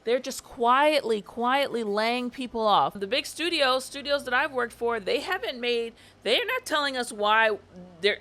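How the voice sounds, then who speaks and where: Woman ranting on her vlog, sarcastic and lively, voice a little high. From family from Barbados. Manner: animated, sarcastic, laughs often. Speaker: YouTube commentator. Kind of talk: casual commentary vlog.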